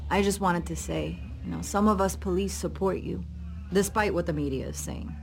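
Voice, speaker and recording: Blunt cop sergeant in a game, lowish creaky voice, music behind. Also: female voice, steady tone, moderate pace. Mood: blunt, supportive. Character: blunt, supportive female police sergeant. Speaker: police sergeant. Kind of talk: video game dialogue.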